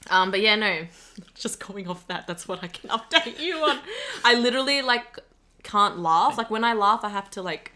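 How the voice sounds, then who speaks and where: A young woman with a bright, clear tone at a middle pitch. She talks casually as a co-host, chatty and quick to laugh, with bits of slang. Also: animated. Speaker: podcast co-host. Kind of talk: casual chat.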